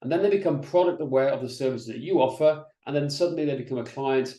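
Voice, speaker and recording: Man, middle-aged, business coach, mellow voice, chatty webinar delivery, roomy echo. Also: mid-range voice, fast. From Wales. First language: Welsh. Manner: energetic, chatty, with frequent restarts and "right?" checks. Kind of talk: presenting slides in an online masterclass.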